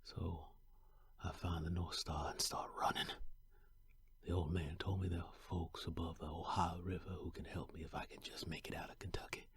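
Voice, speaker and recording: A male voice actor playing a young enslaved man fleeing to freedom, weary but determined, in a husky, breathy, heartfelt first-person historical narration. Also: low voice, flat delivery, moderate pace, fairly bright tone, whispered. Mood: hushed, wary. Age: young adult.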